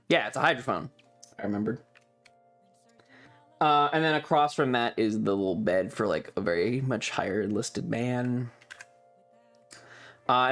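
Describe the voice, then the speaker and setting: A man talking casually as a streamer, describing things in an offhand, rambling, informal way with some swearing. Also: mid-range voice. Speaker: streamer and host. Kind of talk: casual livestream chat, describing travel photos.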